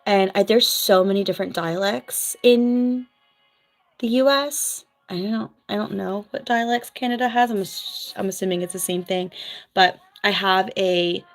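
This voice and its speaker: A woman's voice: medium pitch, fairly even intonation, soft background music. Chatty Philadelphia-accented woman. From US East Coast, New Jersey. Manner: chatty, frank, quick asides with laughs. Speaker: vlogger, former nurse. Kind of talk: casual livestream chat reading viewer comments.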